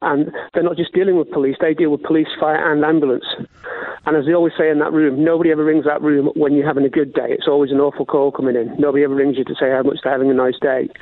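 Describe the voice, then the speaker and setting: A man's voice: a little high, dry recording. A man calling a radio phone-in, explaining his point conversationally in an earnest, insistent way. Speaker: phone-in caller. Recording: thin, tinny phone line.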